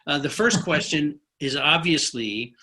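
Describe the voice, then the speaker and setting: Bright-voiced man hosting an interview. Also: on the high side, lively intonation, a little slow. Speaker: host interviewing a guest. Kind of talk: conversational interview.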